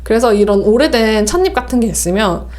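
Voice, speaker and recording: A woman's voice: on the high side, animated, brisk, darkish tone. A woman podcast host chatting casually and explaining things step by step. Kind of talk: casual chat, sharing tea recipes.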